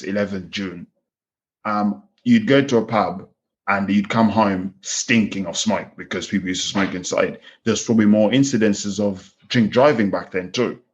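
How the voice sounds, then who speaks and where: A man in his thirties with a Kenyan accent, speaking as a guest in a candid interview, earnest and reflective, often restarting his sentences. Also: fairly low pitch. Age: 30s. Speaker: farm manager guest. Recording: slightly dull lo-fi sound.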